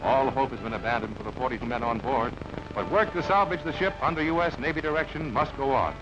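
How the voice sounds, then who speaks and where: A man giving brisk, emphatic scripted newsreel narration on a dull, lo-fi archival recording over music. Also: mid-range voice. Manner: brisk, emphatic announcer delivery.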